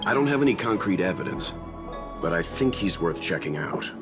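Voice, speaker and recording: An actor playing a calm, reserved young man investigating a suspect in a game cutscene, measured and a little hesitant. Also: low-pitched. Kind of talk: game voice acting. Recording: game cutscene with music behind.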